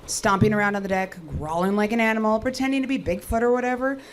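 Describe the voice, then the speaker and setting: A woman's voice: mid-range voice, quick pace, a little hiss or hum, natural voice. An actress playing a sceptical, short-tempered female technician in an audio drama, brusque and sarcastic. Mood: stern, accusing.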